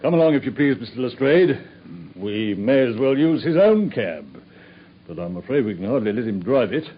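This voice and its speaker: An actor playing a formal, courteous Victorian police inspector with a British accent in a radio drama. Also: male voice, mid-range voice, very animated, normal speed, breathy edge. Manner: official, courteous. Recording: dull, muffled radio drama recording.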